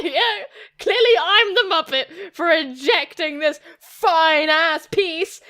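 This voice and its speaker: A young woman hosting a gaming video with playful, animated, cheeky commentary. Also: extremely high pitch. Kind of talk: playful let's-play commentary. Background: music.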